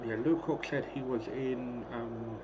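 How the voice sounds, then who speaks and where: A hoarse man in his thirties casually calling the action of a wrestling game he is streaming, rambling and clearing his throat often. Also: medium pitch. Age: 30s. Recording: dull lo-fi stream audio with clear noise.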